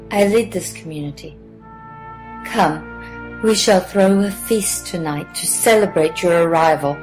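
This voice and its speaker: A woman performs a gracious priestess and village leader in an audio drama. Her speech is slow, formal and stately, at a middle pitch. Faint music plays behind her. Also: a little mellow. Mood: gracious, welcoming.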